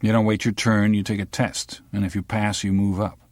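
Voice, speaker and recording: A man reading aloud and voicing a guarded police lieutenant who answers in dry, deadpan replies. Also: lowish voice, brisk, no echo, natural voice.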